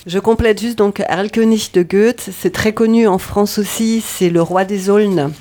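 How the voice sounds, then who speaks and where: A woman's voice: medium pitch, steady tone, measured pace, warm tone. A woman speaking fluent French who adds a short explanatory note for the group.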